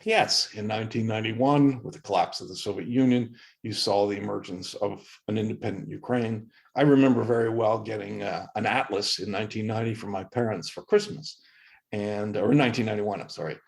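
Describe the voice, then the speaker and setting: A man's voice: on the low side, fairly quick, gravelly. A middle-aged man from Canada, a professor speaking as a podcast guest, explaining history with earnest emphasis and frequent restarts. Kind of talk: interview answers.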